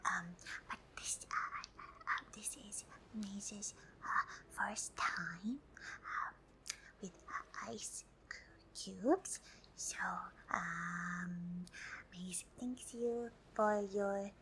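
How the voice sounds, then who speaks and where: Woman as a slow, whispering, friendly alien. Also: mid-range voice. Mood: shy, hesitant. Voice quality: soft breathy whisper. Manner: halting, hesitant, politely formal, third-person self-reference. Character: friendly curious pink female alien host, shy and hesitant. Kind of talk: whispered ASMR roleplay.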